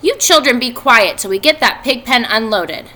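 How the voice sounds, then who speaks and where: Woman as a fussing, high-pitched farm mother. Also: lively intonation, normal speed, sharp tone. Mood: stern. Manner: emphatic warnings.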